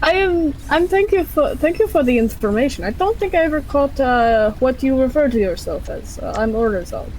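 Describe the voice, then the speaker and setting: A woman plays an earnest adventurer in a tabletop fantasy game, courteous and a bit hesitant in phrasing. Her pitch is on the high side. A little background noise sits under the voice. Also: expressive, moderate pace, hardly any pauses, a little mellow, poor audio. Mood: grateful.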